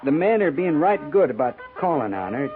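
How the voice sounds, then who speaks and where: An actor playing a plain-spoken, soft-hearted frontier sergeant, folksy, hesitant and respectful, in a 1950s western radio drama. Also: male voice, on the high side, big pitch swings, a little fast, music in the background. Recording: tinny, faintly noisy 1950s radio recording.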